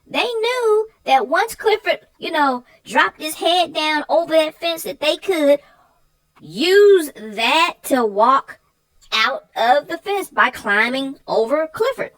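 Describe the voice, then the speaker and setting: A woman's voice: high-pitched, lively intonation, takes it slowly, fairly bright tone, low-quality recording. A woman chatting casually and emphatically as a guest co-host on a children's book show. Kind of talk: casual chat about a picture book.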